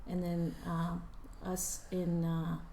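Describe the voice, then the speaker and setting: A woman's voice: on the low side, completely flat delivery, slow pace, dark tone. A woman from the Texas-Mexico border, chatting as a guest on a casual podcast. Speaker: guest musician. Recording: echoey room with faint noise.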